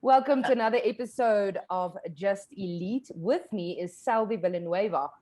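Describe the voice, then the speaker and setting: A woman's voice: medium pitch, moderate pace, dark tone. A woman hosting a casual interview show, upbeat and asking questions, with some fillers. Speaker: podcast host. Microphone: video call.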